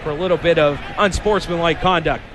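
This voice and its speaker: Higher-pitched young male sportscaster, some noise. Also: steady tone, a little fast, crowd noise. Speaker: sports play-by-play announcer and anchor. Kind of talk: sports commentary and news reading.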